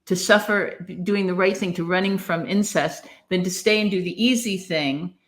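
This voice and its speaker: A woman's voice: lowish voice, normal speed. A middle-aged woman co-hosting a podcast, discussing literature casually in an emphatic, opinionated way that wanders into tangents. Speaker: podcast co-host.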